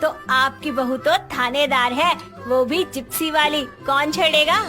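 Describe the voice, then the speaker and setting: A woman as a high-voiced bossy young policewoman. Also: measured pace, music in the background. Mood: proud, cheerful. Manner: bossy, self-assured. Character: brash young policewoman wife, confident and bossy. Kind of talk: Hindi cartoon story voice acting.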